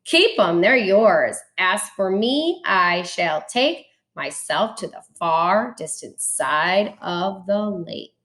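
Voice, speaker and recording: A gentle storybook moose who has been put upon, read by a woman. He declares himself earnestly and emphatically, at an unhurried pace in a mid-pitched voice. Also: expressive. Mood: defiant.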